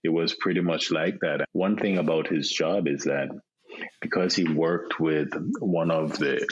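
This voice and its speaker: A Jamaican man in his thirties, a podcast guest, talking in a relaxed way with many fillers and repeated words over a remote call. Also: very deep voice, unhurried, slight background noise. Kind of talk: casual interview chat. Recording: dull lo-fi sound.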